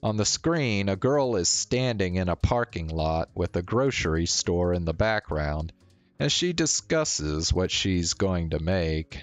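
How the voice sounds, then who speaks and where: A man's voice: low voice, lively intonation, measured pace, no room sound, soft background music. A man narrating a visual novel aloud as a streamer.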